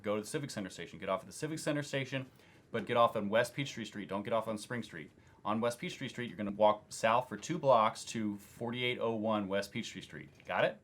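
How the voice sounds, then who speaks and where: A man in a scripted skit, rattling off long, detailed directions without pausing. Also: fairly low pitch, fairly bright tone. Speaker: office staff in acted skit. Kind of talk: acted skit, giving rapid directions.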